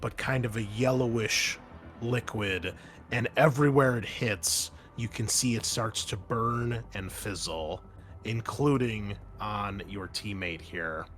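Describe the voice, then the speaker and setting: A man's voice: lowish voice, takes it slowly, fairly bright tone, a little hiss or hum. A man working as game master, narrating a tabletop game and explaining its rules, with casual hesitant asides.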